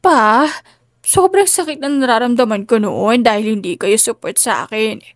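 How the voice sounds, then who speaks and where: Babaeng gumaganap na nagdadalamhating anak na babae, mataas ang boses. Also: female voice, fairly high pitch, lively intonation, normal speed, no room sound, studio quality. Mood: pained, pleading. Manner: pleading, trembling. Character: grieving, devoted adult daughter, emotional young mother.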